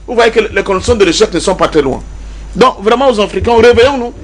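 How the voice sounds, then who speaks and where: A man with a notably high voice and a Cameroonian accent speaks as a panelist in a political debate. He drives his points home emphatically, repeating key phrases and posing rhetorical questions. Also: expressive, fast talker. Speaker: panelist in a pan-African debate.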